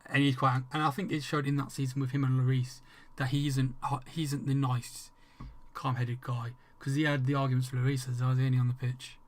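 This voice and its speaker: An English-accented man streaming a game and talking straight to his viewers. He rambles along, trips over his own starts and drops swear words freely, at a middling pitch for a man. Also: level tone, a little fast, a little mellow. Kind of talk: casual livestream chat with viewers.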